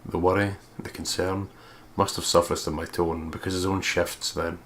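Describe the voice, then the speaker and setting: Male let's play narrator, very low voice, reading story prose aloud over noticeable noise. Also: little pitch movement, normal speed, a bit bright. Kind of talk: narrating a visual novel aloud.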